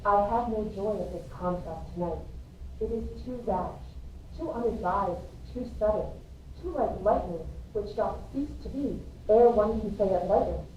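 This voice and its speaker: A woman's voice: mid-range voice, unhurried, dark tone, lo-fi sound, natural voice. A woman reading the part of an earnest, anxious young girl in love in a Shakespearean verse drama. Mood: tender, reluctant. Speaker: amateur reader in a school play. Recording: roomy, distant mic, faint noise.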